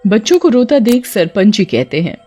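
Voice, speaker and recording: A woman's voice: mid-range voice, sing-song, normal speed, warm tone, breathy edge, soft background music. Woman narrating a cartoon story.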